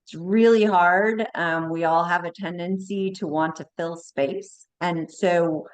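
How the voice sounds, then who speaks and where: A woman co-presenting a webinar, speaking in a measured, reflective way. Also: on the low side, steady tone, smooth. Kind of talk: webinar discussion.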